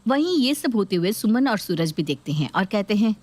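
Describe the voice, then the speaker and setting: A woman's voice: medium pitch, big pitch swings, a little fast, a little mellow. A woman narrating a scripted family story.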